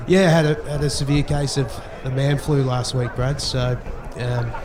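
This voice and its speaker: Croaky Australian co-commentator, flat delivery, hesitant, distant and roomy with a loud crowd behind. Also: male voice, medium pitch, normal speed. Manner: hesitant, many ums and restarts. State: croaky voice from a cold. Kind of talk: sports commentary. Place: outdoor football ground.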